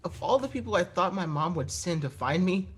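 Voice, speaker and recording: A man's voice: high voice, animated, fairly quick, breathy edge. A young-sounding man playing an awkward teenage runaway working as a waiter in an audio drama. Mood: flustered, surprised. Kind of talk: scripted audio drama dialogue.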